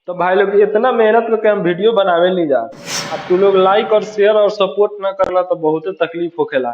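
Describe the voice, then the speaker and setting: Bhojpuri-accented man, high voice, cheeky and mocking, roomy echo, slightly muffled. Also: normal speed, a little mellow. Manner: cheeky, mocking, crude jokes. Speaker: roast video host. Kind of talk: comic roast commentary. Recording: roomy, slightly dull, music behind.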